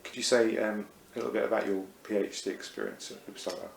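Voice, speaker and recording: A man's voice: lowish voice, fairly even intonation, fast talker, vocal fry. A man hosting an interview series, asking questions with lots of ums and uhs. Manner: hesitant, many ums and uhs. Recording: echoey room, faint noise.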